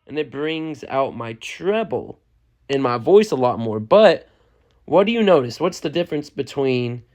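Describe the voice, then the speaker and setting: A young man, a music producer, giving a loose, chatty step-by-step software lesson and often checking in with the listener. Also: medium pitch, very animated, measured pace. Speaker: music producer teaching a tutorial. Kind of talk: casual step-by-step software tutorial.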